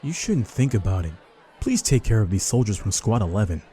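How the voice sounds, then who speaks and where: A man's voice: fairly low pitch, big pitch swings, brisk, warm tone. A man playing a laid-back, casually patronising captain, breezy and dismissive, in an anime fan audio drama. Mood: mildly scolding.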